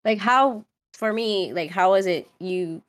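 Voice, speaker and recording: A woman's voice: medium pitch, takes it slowly, no room sound. A woman from Hawaii who is a podcast guest, chatting casually with lots of "like" and frequent laughs.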